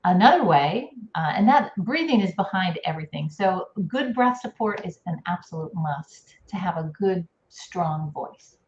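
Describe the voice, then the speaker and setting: A woman speech coach in a casual chat, calmly explaining strategies step by step. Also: fairly low pitch, normal speed, few pauses. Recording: dull, echoey room with faint noise.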